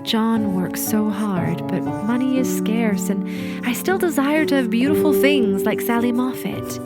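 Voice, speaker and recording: A woman playing a gentle, discontented young wife in a period audio drama, speaking softly and earnestly, as if confessing. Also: fairly high pitch, a little slow, over loud music, lightly acted. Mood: confessional. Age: young adult.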